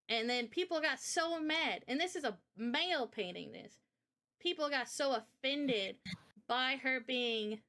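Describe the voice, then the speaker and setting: A woman's voice: a little high, pushed and bright. A woman co-hosting a live Q&A in casual chat, with an informal, rambling way of telling stories. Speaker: co-host of a live Q&A.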